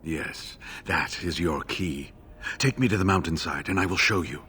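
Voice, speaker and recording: A man playing an injured, earnest scholarly monk in a video game, speaking in a halting, expressive way. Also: low voice. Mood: urgent, determined. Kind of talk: dramatic video game dialogue.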